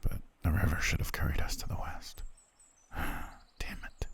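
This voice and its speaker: A man playing a cold, haughty soldier prince in a fantasy audio roleplay, curt and disdainful, with a gruff, breathy voice. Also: a little slow, a bit bright, in a whisper, natural voice. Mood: weary, urgent. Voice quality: very deep, gravelly, breathy. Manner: curt, disdainful, dry, low-key grumbling. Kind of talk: ASMR roleplay acting.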